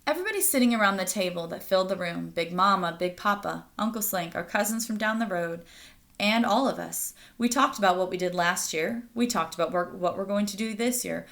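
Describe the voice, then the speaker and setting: A woman storyteller with a mid-pitched voice reads a picture book aloud to children. She narrates in plain phrases and speaks to her young listeners directly. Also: vocal fry, a bit bright. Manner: pauses to ask listeners questions.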